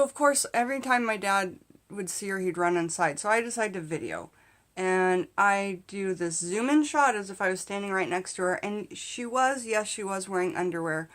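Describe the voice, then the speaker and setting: A middle-aged woman telling a casual comedic story to camera in an animated, candid, conversational way. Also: mid-range voice. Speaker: vlog host and storyteller. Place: small indoor space in front of a curtain.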